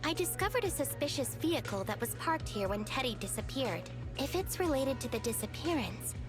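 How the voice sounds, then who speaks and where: A woman voicing a wise, detached police investigation android in a video game, speaking in a measured, formal, matter-of-fact way. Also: high-pitched, sing-song, music in the background. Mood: calm, authoritative.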